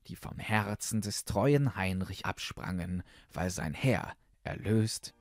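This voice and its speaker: A man's voice: low voice, slow pace. A man reading a fairy tale as a scripted audiobook narrator.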